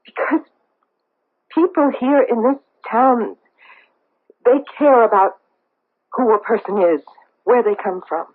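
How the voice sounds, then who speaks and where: Husky, guarded socialite woman. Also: a little high, expressive, slow, long pauses. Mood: guarded, agitated. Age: middle-aged. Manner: hesitant, evasive, defensive. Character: guarded socialite woman hiding her past, anxious, defensive. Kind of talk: 1970s radio drama acting. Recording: tinny, band-limited old radio broadcast.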